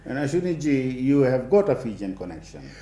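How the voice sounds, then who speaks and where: A man's voice: mid-range voice, very animated, a little fast, warm tone, roomy sound. A man hosting a TV interview show, speaking hesitantly with many fillers. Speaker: TV show host.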